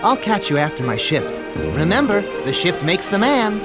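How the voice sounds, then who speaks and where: A man voicing an eager, slightly nervous young bee, earnest and excitable. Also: high voice, normal speed, loud music behind the voice. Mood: eager, upbeat.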